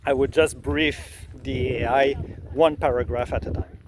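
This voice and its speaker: A man's voice: mid-range voice, fairly even intonation, unhurried, noisy background. A middle-aged man with a French accent, a native French speaker, explaining his process in casual talk with frequent fillers and restarts. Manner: hesitant, many fillers and restarts. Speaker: creator sharing his process.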